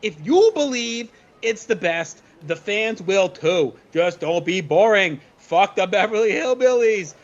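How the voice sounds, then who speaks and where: A man playing an excitable, slangy wrestling promoter in a comedic audio play, speaking in emphatic, halting bursts over slightly dull audio. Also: high voice. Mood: fired up.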